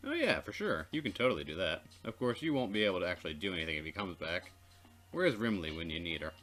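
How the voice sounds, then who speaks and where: Low-voiced man narrating a game. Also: big pitch swings, quick pace, a bit bright, soft background music. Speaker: narrator of a game let's play. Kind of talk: reading visual novel narration aloud with casual asides.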